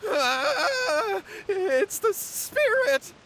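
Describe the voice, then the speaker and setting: A man's voice: very high-pitched, big pitch swings, very slow, bright, forceful tone, slight background noise, full character voice. An actor playing a nervous, guilty young villager in a video game, a cowardly man who stammers and gets flustered. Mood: terrified.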